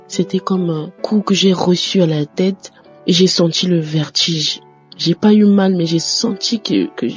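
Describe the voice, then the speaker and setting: A woman's voice: fairly low pitch, dark tone. A woman from Congo-Brazzaville with a Congolese accent telling her religious testimony, repeating phrases for emphasis. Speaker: testimony giver. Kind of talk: religious testimony, personal storytelling. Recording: muffled lo-fi audio over music. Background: background music.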